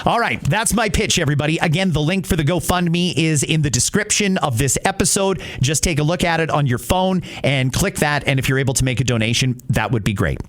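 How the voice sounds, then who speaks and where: Middle-aged man, slightly high pitch, podcast host, casual monologue, direct conversational phrasing. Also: lively intonation, a little fast, hardly any pauses. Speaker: podcast host and radio personality.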